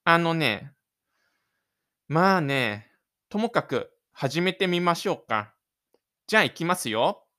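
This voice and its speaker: A man with a fairly high, clean and smooth voice presents a homemade audio drama. He speaks as host and narrator, in a casual radio-show way or reading from his script. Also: sing-song, slow, pauses often, pushed and bright. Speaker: host and writer of a self-made audio drama.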